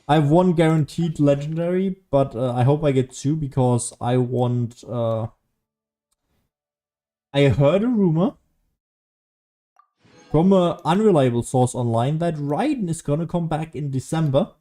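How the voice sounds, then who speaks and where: A man's voice: on the high side, lively intonation, unhurried, long pauses, dark tone. Casual man rambling through gameplay commentary. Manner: rambling, thinking aloud. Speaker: gaming streamer.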